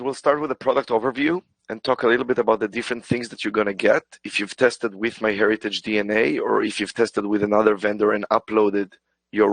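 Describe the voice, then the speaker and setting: A man's voice: lowish voice, no echo, low-quality recording. A man presenting a webinar, explaining product tools with many hesitations and fillers, while losing his voice. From Israel. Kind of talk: presentation walkthrough.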